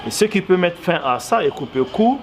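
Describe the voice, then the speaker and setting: Malian guy explaining stuff in an interview, voice a bit high, earnest and emphatic, some noise behind him. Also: sing-song, fairly quick. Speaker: interviewee, commentator. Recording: clearly noisy background ambience.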